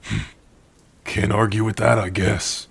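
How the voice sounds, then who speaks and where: A man performs a gentle male orc blacksmith with a low, husky voice. He is tender and protective, talking softly to his partner in an intimate ASMR roleplay. His delivery is very unhurried, with rain behind him. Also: little pitch movement, exaggerated voice. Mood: warm, wry. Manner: unhurried, tender, with soft sighs and hums. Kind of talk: ASMR roleplay addressed to the listener.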